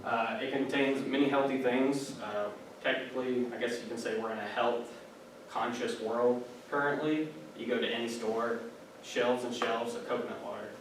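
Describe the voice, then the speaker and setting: A man's voice: mid-range voice, fairly even intonation, moderate pace. A young man giving a casual, chatty classroom presentation, full of fillers and asides. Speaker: student giving a class speech. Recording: echoey room, distant mic, background noise.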